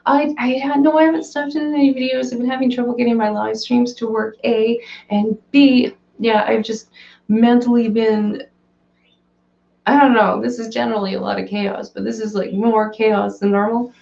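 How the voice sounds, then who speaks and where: A woman hosting a casual live stream from her fish room, chatting and answering viewer comments with a hoarse, cracking voice. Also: on the high side, little pitch movement, normal speed, dark tone. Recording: roomy, slightly dull home recording.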